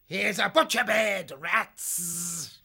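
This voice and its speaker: Slow, screechy, savage shrike. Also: male voice, high-pitched, gravelly, pushed and bright, husky, pitched above the performer's own voice, full character voice. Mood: wild, gleeful. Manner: menacing, drawn-out, boastful war cries. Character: fierce, mad-eyed male shrike, a warlike butcher bird. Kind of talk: audiobook character voice.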